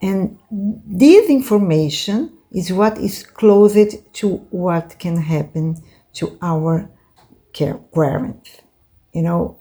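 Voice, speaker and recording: A woman from Brazil with a Brazilian Portuguese accent, teaching card reading in English as a second language, halting and full of fillers. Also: lowish voice, warm tone. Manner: unhurried, halting, many fillers and tag questions. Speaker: tarot and oracle card teacher.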